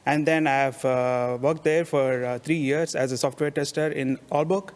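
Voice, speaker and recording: A man from India, introducing himself haltingly with many fillers. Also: on the high side, steady tone, darkish tone. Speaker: panelist, software tester. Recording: long concert hall reverb.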